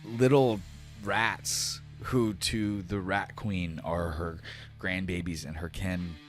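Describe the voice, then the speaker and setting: Male game master, low and unhurried, casual slangy storyteller of fantasy combat, music underneath. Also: fairly even intonation. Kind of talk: narrating a tabletop fantasy game.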